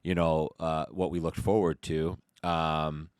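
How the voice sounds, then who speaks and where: A man's voice: a bass voice, level tone, measured pace, clear, resonant tone, dry recording, studio quality. An American man from the Detroit area hosting a casual podcast interview, chatty and rambling, often seeking agreement. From Detroit area, east side, United States. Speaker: podcast host.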